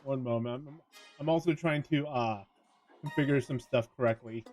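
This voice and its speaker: A man chatting casually as he streams a visual novel, speaking haltingly and trailing off mid-thought. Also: mid-range voice, darkish tone, soft background music.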